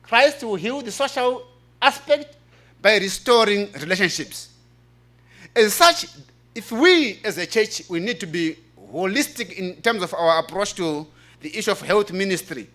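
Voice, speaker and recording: High-pitched, bright-voiced African man presenting. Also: expressive, a little slow. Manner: earnest, emphatic, repeats key phrases. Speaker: presenter reading a paper at a church health forum. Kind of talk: preaching-style conference presentation.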